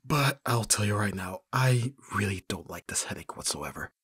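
A man acting a flustered young best friend in love in an intimate ASMR roleplay, husky and breathy, with a hesitant, stammering delivery. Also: on the low side, expressive, measured pace, fairly bright tone, dry recording, clean studio sound, full character voice. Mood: weary, affectionate. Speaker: audio roleplay voice actor.

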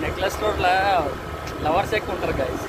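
A man's voice: high-pitched, a little fast. A young man chatting casually to camera as a vlogger, with very noisy ambience around him. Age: young adult. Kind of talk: casual vlog chat to camera. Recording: very noisy outdoor and cafe ambience.

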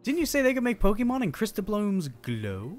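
A man's voice: sits high, huge pitch swings, fairly quick, faint music behind, pitched above the performer's own voice. A man voicing an upbeat, eager professor from a video game, reading the dialogue in a declamatory way. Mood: bright, theatrical.

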